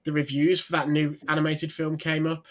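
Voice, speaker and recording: Male co-host, higher voice, halting, lots of fillers. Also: completely flat delivery. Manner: hesitant, many ums and restarts. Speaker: podcast co-host. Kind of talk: casual video chat.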